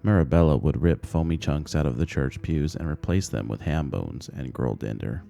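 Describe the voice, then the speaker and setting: A man's voice: a bass voice, lively intonation, moderate pace, creaky, dark tone, faint music behind. A man reading a short story aloud as scripted audiobook narration.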